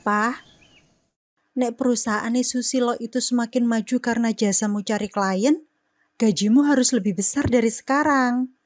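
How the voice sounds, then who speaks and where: A woman's voice: a little high, normal speed, no room sound, clean studio sound, close to an everyday voice. A woman voicing a practical, firm wife. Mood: urging. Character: practical wife of an ice seller.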